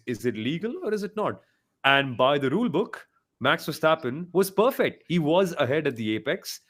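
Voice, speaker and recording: Emphatic man with lively, swinging intonation. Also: mid-range voice. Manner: emphatic, blunt, repeats phrases. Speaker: podcast panelist. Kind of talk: opinionated motorsport discussion.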